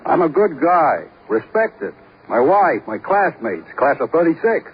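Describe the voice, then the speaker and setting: A man's voice: a little high, big pitch swings, a little slow. An actor playing a defensive, boastful married man with an American accent and slangy, protesting speech in a 1950s radio crime drama. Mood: indignant, pleading. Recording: muffled, hissy old radio broadcast.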